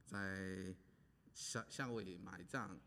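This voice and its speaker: Male pastor from Hawaii, low and unhurried, halting delivery, long reverb of a church hall. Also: pushed and bright, slight background noise. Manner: halting, many pauses and fillers. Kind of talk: preaching with interpreter.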